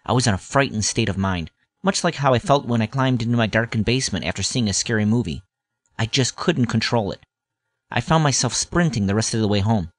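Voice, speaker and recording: A man's voice: lowish voice, close to an everyday voice. A man playing a grown-up wryly recalling his boyhood in scripted first-person audio drama narration. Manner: wry, nostalgic storytelling.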